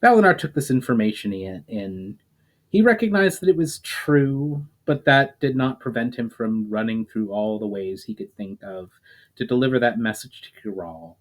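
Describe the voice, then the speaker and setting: A man's voice: medium pitch, lively intonation, moderate pace. Man reading a fantasy story aloud. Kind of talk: scripted fantasy narration.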